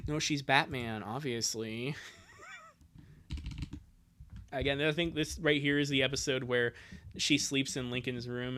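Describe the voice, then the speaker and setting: A man's voice: medium pitch, a bit bright. A man hosting a livestream, giving casual, chatty commentary with a joking, sarcastic manner. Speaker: livestream host. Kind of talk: casual livestream commentary on a fan comic reading.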